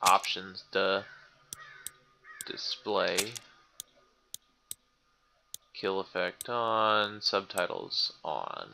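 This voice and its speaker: A man's voice: fairly low pitch, creaky, sharp tone, slight background noise. A man giving casual, conversational commentary as he plays a video game. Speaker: let's play commentator.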